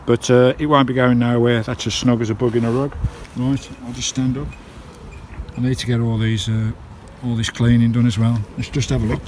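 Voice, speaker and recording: A man's voice: medium pitch, flat delivery, a little fast. A British man narrating a casual hands-on vlog outdoors, chatting informally to his viewers. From United Kingdom. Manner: chatty, informal, often addressing viewers as guys. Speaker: vlogger. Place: outdoors on and around a campervan. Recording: windy handheld recording with loud background noise. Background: wind and loud noise.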